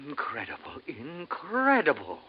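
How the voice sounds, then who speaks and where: A man's voice: a little high, huge pitch swings, measured pace, husky, heavily acted. A man playing an earnest, marveling scientist from a space expedition, hesitant, in old-time radio style. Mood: astonished. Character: awestruck male Earth scientist, earnest and excitable. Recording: dull, noisy old radio broadcast.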